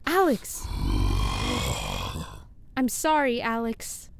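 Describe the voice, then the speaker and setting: A woman's voice: high voice, very slow, clear, resonant tone, no echo. A woman playing an earnest, literal-minded scientist in an audio drama, with formal, precise wording. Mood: regretful. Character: earnest, literal-minded female lab scientist named Sophie. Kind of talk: scripted audio drama acting.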